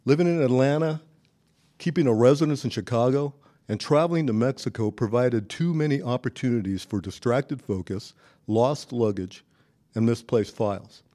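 A man with an American accent narrating scripted personal stories in a measured, articulate, audiobook-like way. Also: mid-range voice, dark tone. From Los Angeles, United States.

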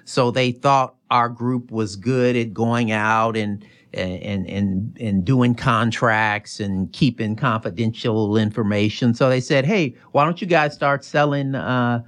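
A middle-aged man from Chicago with an American accent, answering interview questions informally, often halting and restarting. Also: medium pitch. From Chicago, United States. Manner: informal, halting, self-correcting. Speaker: interview guest, finance executive.

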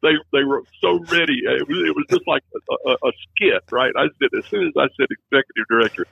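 A man's voice: medium pitch, fast talker, gravelly, breathy edge, no echo. A man, a former film festival director, telling stories in a rambling way, full of restarts, recorded with a tinny phone-like sound. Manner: rambling, many restarts, chuckles at his own stories. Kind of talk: casual conversation.

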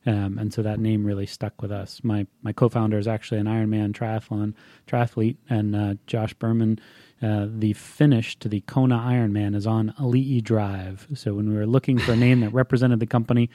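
Man, 40s, from Hawaii, interview guest, easygoing, low mellow voice, dry sound. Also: level tone, fairly quick, no room sound. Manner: easygoing, lightly joking. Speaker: guest, company founder and physician. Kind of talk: casual interview answers.

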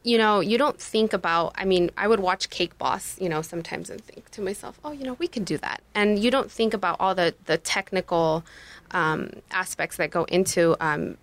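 A woman's voice: on the low side, normal speed. Chatty woman, Spanish her first language. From Mexico. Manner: chatty, many fillers like "you know" and "um". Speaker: guest, business owner. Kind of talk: interview answers.

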